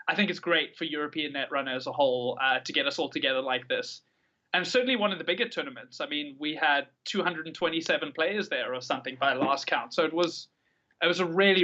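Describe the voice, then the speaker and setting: A man's voice: on the high side, bright, forceful tone, muffled recording. A South African man giving long, articulate, enthusiastic answers as a guest in a conversational interview, with a South African accent. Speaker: podcast guest, card game tournament player.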